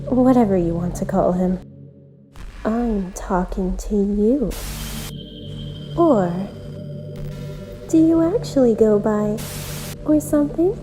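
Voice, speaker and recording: A woman voicing a lonely, obsessive, self-aware visual novel game girl who speaks gently and intimately, straight to the listener, in an amateur voice-acted monologue. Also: mid-range voice. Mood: coy, awkward. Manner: gentle, deliberate, intimate direct address.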